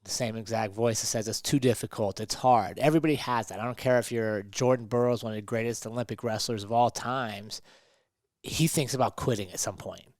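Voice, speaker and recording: A man's voice: medium pitch, brisk, dry recording. Guy on a motivational podcast, talks emphatic, keeps asking right?, really dry close sound. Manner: emphatic, rhetorical, checks in with "right?". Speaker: podcast co-host.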